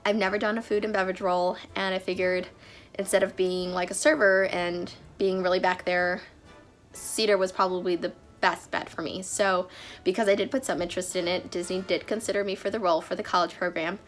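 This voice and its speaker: A woman's voice: on the low side, flat delivery, fairly quick, soft background music. A young woman vlogging casually, sounding a bit nasal from a cold, chatty with frequent fillers. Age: young adult. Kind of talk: casual vlog talk.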